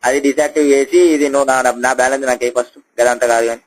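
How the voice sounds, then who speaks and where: A man's voice: mid-range voice, fairly even intonation, rapid-fire. A man with a Telangana Telugu accent on a phone call, complaining to a helpline in a repetitive, argumentative, foul-mouthed way. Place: telephone call.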